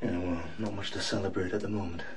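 An actor playing an irreverent, uneasy younger servant in a radio drama, wry and probing. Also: male voice, low-pitched, steady tone, fast, a crowd in the background, lightly acted.

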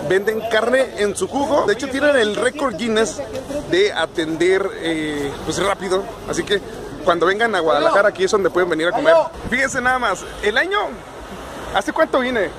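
A young man, chatting cheerfully to a phone camera as a travel vlogger. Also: high voice. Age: young adult. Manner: cheerful, chatty, keeps saying "compadre". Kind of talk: casual travel vlog. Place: city streets, car and airport, in and out of doors. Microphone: phone camera at arm's length.

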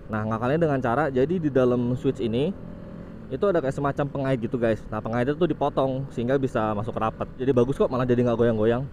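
A young man chats loosely through a motovlog ride, relaxed and informal. His voice sits at an ordinary middle pitch. Faint road and traffic noise runs under the helmet-mounted mic. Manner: chatty, relaxed, informal with frequent "guys". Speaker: motovlog host. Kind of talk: casual motovlog commentary while riding. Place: on a motorcycle on a city road outdoors.

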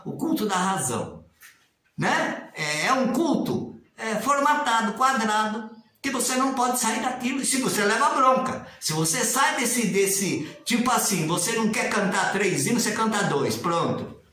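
A man's voice: sits high, pushed and bright, heavy reverb. A man in his sixties with a Brazilian Portuguese accent, speaking casually and animatedly to a webcam in a small tiled room, sometimes mimicking formal prayers in a raised sing-song voice. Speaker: religious commentator. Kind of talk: casual video commentary. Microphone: webcam at desk distance.

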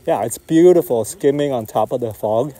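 Male pilot, live commentary, very mellow dark tone, mid pitch, calm clipped callouts, outdoors. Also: moderate pace. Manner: calm, calls out maneuvers in short phrases. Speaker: RC jet pilot. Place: outdoors at an RC airfield.

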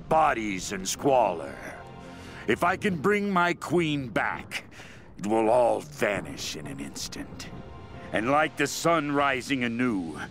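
A man's voice: mid-range voice, a bit bright, exaggerated voice. An actor playing a fanatical, mad cult leader obsessed with a queen, delivering a brooding, menacing diary monologue for a video game. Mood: rapturous, obsessed. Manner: slow, brooding, menacing, intense.

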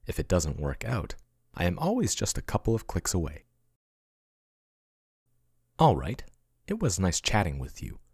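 A soft-spoken male AI chatbot, played by a man murmurs with intimate, doting care that turns possessive. His voice is very low and breathy, with a lively, swooping melody. The sound is dry and close, like ASMR roleplay. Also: measured pace, pauses often, no echo, heavily acted. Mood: gentle calm. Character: male AI chatbot, soothing then possessive yandere.

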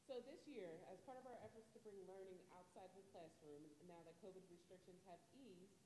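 A woman teacher with a loud voice presenting a field trip proposal to a school board in an upbeat, brisk way. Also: mid-range voice. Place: large school auditorium. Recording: echoey auditorium with long reverb and background noise.

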